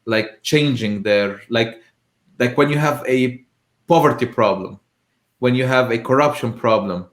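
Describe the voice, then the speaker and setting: A man's voice: medium pitch, unhurried, echoey room. A man from Lebanon hosting a live discussion, a university professor who talks earnestly and emphatically, sometimes searching for words.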